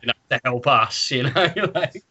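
A man's voice: mid-range voice, animated, normal speed, pushed and bright, lo-fi sound. A man co-hosting a podcast, casually discussing a book in an informal, sweary way. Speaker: podcast co-host.

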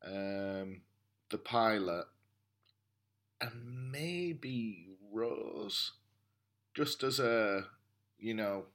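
A man's voice: on the low side, drawn-out words, pauses often, a bit bright. A man chatting casually as a guest on a podcast. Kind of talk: casual chat.

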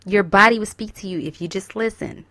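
A woman's voice: medium pitch, brisk, pushed and bright, no echo. A young woman getting over a cold, talking casually and encouragingly straight to her listeners as a host. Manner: encouraging, chatty, addresses listeners directly. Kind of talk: casual self-help talk.

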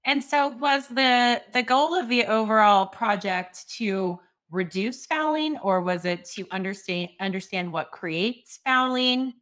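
Bright, upbeat woman podcast host. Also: fairly high pitch, a little slow, hardly any pauses, dry recording. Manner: upbeat, enthusiastic. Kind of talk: podcast interview and intro.

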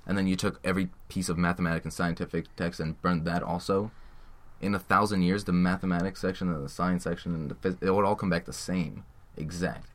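A man's voice: deep voice, fairly even intonation. A man whose first language is Armenian, chatting casually and crudely as a podcast co-host. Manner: quick, joking, crude banter. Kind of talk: casual chat.

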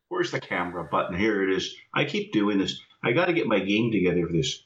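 A man's voice: fairly low pitch, sing-song, normal speed, a bit bright. A man calling into a show over a video call, chatting casually and fumbling with the buttons. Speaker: caller. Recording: roomy, dull video call audio.

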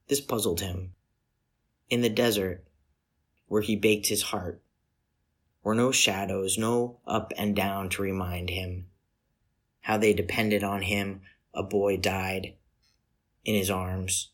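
A man giving a literary poetry reading in a measured, solemn manner, with deliberate pauses between short phrases. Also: lowish voice, a bit bright. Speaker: poetry reader.

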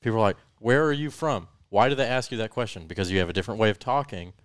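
A man's voice: on the low side, fast, clean tone, no echo. A man co-hosting a podcast, teasing the others with joking banter. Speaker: podcast co-host. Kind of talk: casual podcast chat.

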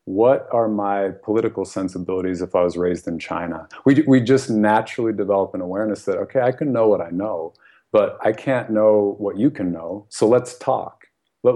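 A man's voice: lowish voice, normal speed, warm tone. An American man, a guest author, talking earnestly and thoughtfully in a podcast conversation, often laughing and saying "you know". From United States.

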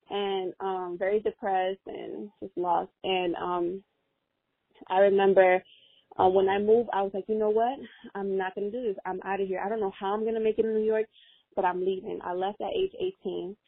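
A woman's voice: medium pitch, fairly even intonation, normal speed, dry recording. A young woman whose first language is Spanish, an interview guest talking earnestly in a casual, rambling way with many fillers. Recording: dry, tinny phone-like audio.

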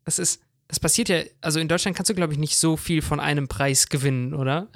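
Man, slightly high-pitched, dry recording, casual chat, enthusiastic, English loanwords, podcast co-host. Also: quick pace, gravelly, dry recording.

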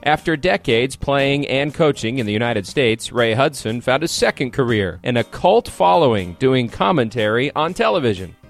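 A man's voice: medium pitch, expressive, moderate pace, creaky, hardly any pauses, soft background music. A man hosting a podcast, giving a polished, wry scripted narration. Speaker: podcast host.

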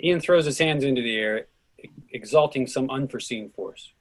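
A man's voice: medium pitch, normal speed. A man narrating scripted stage directions for a play reading.

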